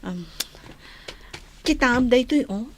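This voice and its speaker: Worried gossipy friend, very high voice, animated swings, Ilocano accent, radio soap. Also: female voice, slow, a little hiss or hum. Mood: insistent, revealing. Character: concerned, gossipy female friend breaking bad news. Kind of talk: Filipino radio drama acting.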